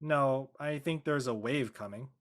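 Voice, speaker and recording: A man's voice: medium pitch, a little slow, a bit bright, lightly acted. An actor playing an evasive, awkward teenage boy in casual drama dialogue.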